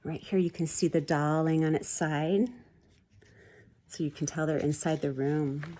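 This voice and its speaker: A woman with a slightly low voice reads a children's storybook aloud. She is expressive and explains things like a teacher. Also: unhurried. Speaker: storybook reader. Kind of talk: expressive children's read-aloud with picture commentary.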